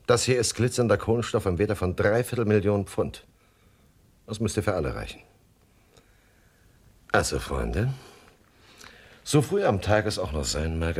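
Smooth-talking, lively gentleman crook. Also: deep voice, exaggerated voice. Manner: polite, mocking, eloquent. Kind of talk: 1970s German radio crime comedy acting.

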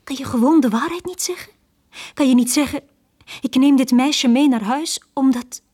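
An actress playing a lonely, pleading young woman, frightened yet proud, with a breathy, husky voice and a fragile intensity in a radio drama. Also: sits high, normal speed, a little mellow. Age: young adult.

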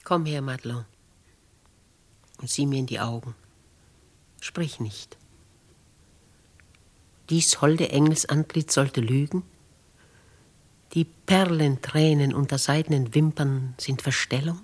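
A woman's voice: a bass voice, slow pace, long pauses, darkish tone, heavily acted. A woman playing a dignified, bold older noblewoman writer in a 1950s German radio drama, with theatrical, declamatory phrasing. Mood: tender, searching.

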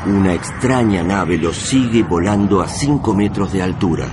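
A man's voice: sits low, lively intonation, moderate pace, background noise. A man with an Argentine accent narrating a radio drama in a dramatic, scripted style.

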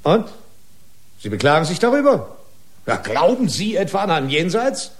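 An actor playing a combative, sarcastic opposing lawyer in a radio drama, cutting and accusatory in formal courtroom language. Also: male voice, a little high, wildly swooping pitch, moderate pace. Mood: scornful, accusing.

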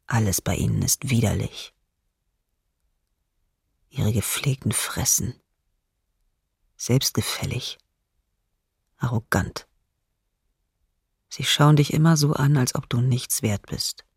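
Bitter female diarist, breathy rasp, creaky, slow, intimate radio docudrama, dry sound. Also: extremely low pitch, darkish tone, no echo, exaggerated voice. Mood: bitter, disgusted. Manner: slow, halting, sometimes near whisper. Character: bitter, frightened diarist in occupied Kyiv.

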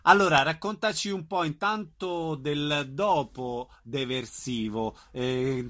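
A woman's voice: deep voice, slow, a bit bright. A woman hosting a radio interview. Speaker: radio show host.

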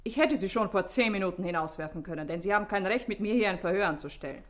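A woman's voice: medium pitch, fast talker, close to an everyday voice. An actress playing a self-assured, evasive society woman under questioning in a German radio drama, with an ironic, haughty manner.

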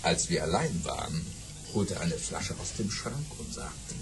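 Boastful baron, lowish pitch, very animated, theatrical, self-important, audio play, slightly noisy tape. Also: male voice, unhurried, full character voice. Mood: sly storytelling. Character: boastful old baron spinning tall tales. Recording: noisy old cassette rip.

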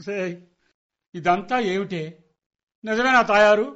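A man's voice: high voice, slow pace, a bit bright. An actor playing a blustering, hot-tempered middle-aged father in a radio comedy, exasperated and stubbornly emphatic. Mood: suspicious, bewildered. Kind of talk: Telugu radio comedy play acting. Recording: dull lo-fi radio recording.

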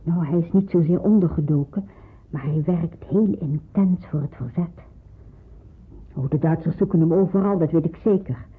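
A middle-aged pastor's wife in a radio drama, caring and practical. Her voice is husky, and she explains things in a reassuring, slightly hesitant way. It sounds muffled, as if down a phone line. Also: low voice, moderate pace. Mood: concerned, practical. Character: caring, devout pastor's wife aiding people in hiding. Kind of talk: Dutch radio drama acting.

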